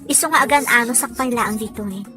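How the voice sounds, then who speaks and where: Actress as practical young working woman, high bright voice, radio drama, chatting, soft music behind. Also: fast talker. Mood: chatty. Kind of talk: scripted Ilocano radio drama dialogue.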